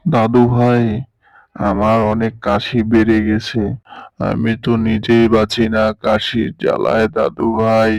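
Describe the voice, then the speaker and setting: A man voicing an old grandfather and ice cream seller in a cartoon, soft and doting. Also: fairly low pitch, monotone, measured pace, no room sound, natural voice. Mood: weary. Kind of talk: cartoon voice acting.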